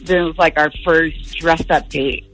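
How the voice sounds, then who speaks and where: A woman's voice: deep voice, fairly even intonation, measured pace, fairly bright tone, faint music behind, rough recording. A young woman calling a radio show, telling her story in a casual, chatty way. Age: young adult. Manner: chatty, uses "like" often.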